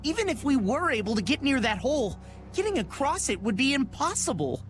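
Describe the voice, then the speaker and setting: A young messenger in a game cutscene, urgent and alarmed. The voice is high, with music playing underneath. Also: female voice, sing-song, a little fast. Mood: panicked. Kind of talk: dramatic game voice acting.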